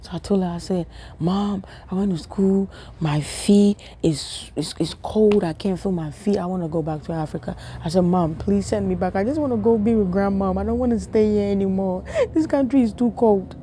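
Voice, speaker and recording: A young woman from Ghana telling a funny personal story to her viewers in a casual, animated way, often repeating herself and laughing. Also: medium pitch, normal speed, slight background noise. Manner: animated, repetitive, laughing, talks to viewers as family. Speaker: vlogger.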